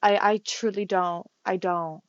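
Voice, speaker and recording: A twenty-one-year-old woman with a slight lisp, dropping in a hurried, gossipy voice-over while editing her vlog. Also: medium pitch, steady tone, lo-fi sound. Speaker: vlogger.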